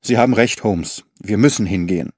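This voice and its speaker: Loyal companion, calm and earnest, a touch lower as the narrator reads him. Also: male voice, fairly quick, no room sound, studio quality, lightly acted.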